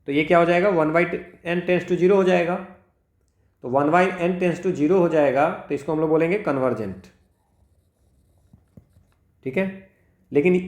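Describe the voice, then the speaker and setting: A man with an Indian accent giving an informal maths lesson, working step by step, asking himself questions and mixing two languages. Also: a little high. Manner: code-switches between two languages, asks himself questions, frequent check-words. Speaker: maths teacher for exam preparation. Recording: roomy, echoing sound.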